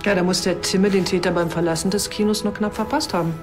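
An actress playing a brisk, matter-of-fact female police investigator questioning people. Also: medium pitch, steady tone, music in the background, natural voice. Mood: businesslike. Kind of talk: naturalistic TV crime drama acting.

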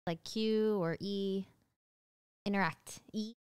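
A woman's voice: mid-range voice, steady tone, slow, a little mellow, clean tone, no room sound, clean studio sound. A woman guest on a game stream chatting casually, teasing and laughing often. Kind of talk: casual gameplay chat.

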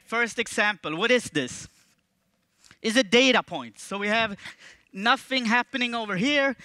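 Swedish man in his thirties giving a jokey stage talk; high, clear voice, close and dry on a headset mic. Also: no echo. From Sweden. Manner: playful, jokey, with animated jumps in pitch and volume. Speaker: conference speaker. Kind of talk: stage presentation. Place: large dark auditorium stage with audience.

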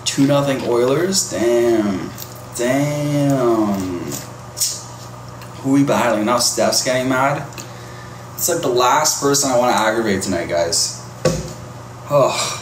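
Male livestreamer, mid-pitched and chatty, fielding viewer comments over loud ambient noise. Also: takes it slowly, long pauses, echoey room. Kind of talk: casual live-stream chat.

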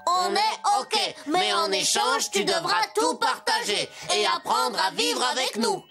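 A woman's voice: very high-pitched, lively intonation, measured pace, exaggerated voice. A woman voicing a playful little male elf in a children's cartoon, bouncy and boyish. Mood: cheerful. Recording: loud music behind the voice.

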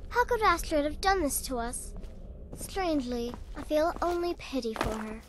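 Little girl assassin in a game, high voice but talks cool n grown up, bit of background noise. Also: expressive, normal speed. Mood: shocked, pitying. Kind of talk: dramatic video game acting. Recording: distant, faint ambient noise.

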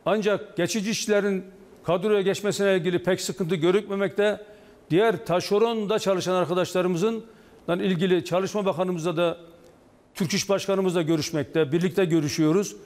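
Union official, male, sixties, high-pitched, measured stop-start delivery, echoing hall-like sound. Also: fairly even intonation, darkish tone. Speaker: trade union official, interview guest. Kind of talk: live TV news interview, explaining. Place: indoor office or studio, video link to TV broadcast. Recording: long reverberant hall echo.

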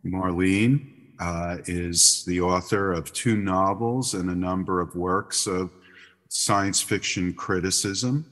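A man hosting a podcast, asking interview questions in a measured, hesitant way with frequent uhs. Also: deep voice, steady tone, fairly bright tone, long reverb tail.

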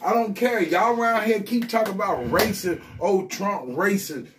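A middle-aged man bragging and riffing in loud, profane banter inside a small vending room, filmed on a phone. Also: sits high, normal speed, distant mic, noisy background. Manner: loud, brash, profane hype talk with laughter. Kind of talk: boastful rambling banter.

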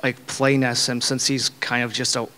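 A man in the audience asking a panel question into a handheld mic, halting and restarting as he goes. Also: medium pitch, level tone, sharp tone. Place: large convention hall panel room. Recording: roomy hall reverb.

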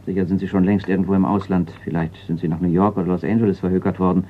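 A man acting a formal insurance executive in a radio crime drama, with a low voice. He is earnest, measured and insistent. The sound is muffled, with faint noise behind it. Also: little pitch movement, natural voice. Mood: pessimistic, concerned. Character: sober, insistent male insurance company executive. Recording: dull, noisy radio play recording.

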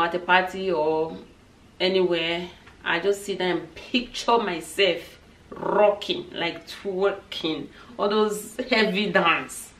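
A woman of thirty-five with a fairly low voice talks casually to camera, answering questions for her vlog. She addresses her viewers informally. The recording is roomy and distant, with some background noise. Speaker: family lifestyle vlogger. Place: indoors, small set with wooden backdrop.

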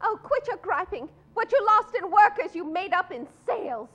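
Scheming murderess dressmaker: overwrought, defiant, sky-high and swooping, radio melodrama. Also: female voice, big pitch swings, moderate pace, fairly bright tone, exaggerated voice. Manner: overwrought, theatrical. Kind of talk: old-time radio melodrama parody. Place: small theater stage.

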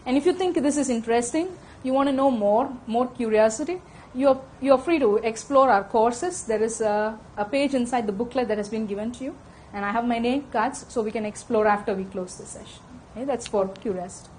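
A woman's voice: on the high side, darkish tone, a little hiss or hum. A middle-aged woman with an Indian accent giving a technical lecture to a room of listeners on a handheld microphone, often checking in with "okay?". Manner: fast-talking, frequent "okay?" check-ins. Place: conference room with audience.

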